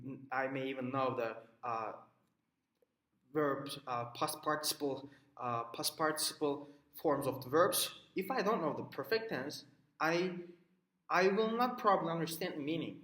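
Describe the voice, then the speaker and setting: A man's voice: mid-range voice, a bit bright, roomy sound, rough recording. A man whose first language is Turkish, summarizing a reading assignment for a class video, with many fillers and restarts as he explains through personal examples. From Turkey. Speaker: student presenting a reading assignment.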